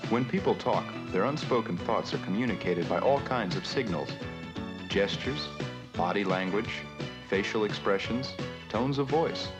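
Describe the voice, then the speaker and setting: A man's voice: on the low side, lively intonation, moderate pace. Man narrating, music behind. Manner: didactic, addresses the viewer directly. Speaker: narrator and presenter of an educational film. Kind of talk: scripted instructional narration. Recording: 1970s educational film soundtrack, music behind.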